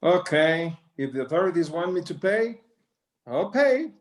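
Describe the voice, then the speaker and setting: A man's voice: a little high, sing-song, a little slow. A man playing a stubborn, tired old Mexican rancher father who mixes English and Spanish in a screenplay table read. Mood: calm, firm.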